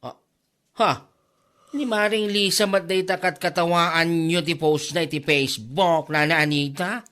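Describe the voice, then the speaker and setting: A man's voice: on the high side, fairly even intonation, unhurried, pushed and bright. A man with an Ilocano accent playing a gossipy, exclamatory village neighbour in a comedy drama. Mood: teasing.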